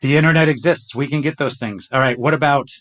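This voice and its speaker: A man's voice: medium pitch, fast talker, a bit bright. Enthusiastic man hosting a podcast. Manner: enthusiastic, self-deprecating, stumbles on words. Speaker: podcast host. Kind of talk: scripted intro then casual chat. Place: home closet.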